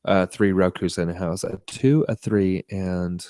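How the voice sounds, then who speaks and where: A man co-hosting a podcast, chatting casually about tech and media in a halting, rambling way. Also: low-pitched, steady tone, warm tone, no room sound, clean studio sound. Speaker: podcast co-host.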